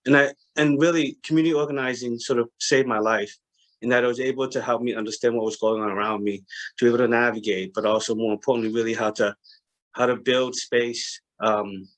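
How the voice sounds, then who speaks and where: A man in his thirties with a Boston accent, speaking earnestly as a panelist on a video call, with many pauses and restarts. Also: medium pitch, poor audio. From Cambodia.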